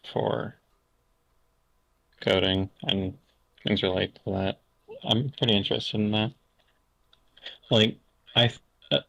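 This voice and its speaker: A man's voice: low voice, vocal fry, fairly bright tone, dry recording, rough recording. A young man from Canada, a podcast guest talking in a casual, hesitant way full of fillers and restarts. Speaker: interview guest.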